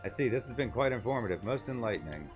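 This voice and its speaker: A man's voice: mid-range voice, lively intonation, fast talker, soft background music, natural voice. A man voicing a small, eager catfish creature from a game, fussy, formal and wordy. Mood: polite, delighted. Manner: formal, repeats itself in synonyms.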